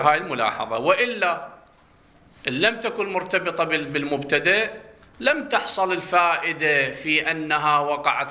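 A man's voice: on the high side, sharp tone. A man with an Iraqi Arabic accent teaching grammar in a classroom lecture. He asks the class questions and answers them himself, drawing out key words for stress. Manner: drawn-out stressed words when explaining terms.

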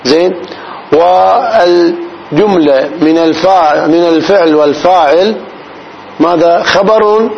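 Man teaching grammar, checking the class. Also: fairly high pitch. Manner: explains slowly, often checks the class's understanding. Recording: dull recording with faint noise.

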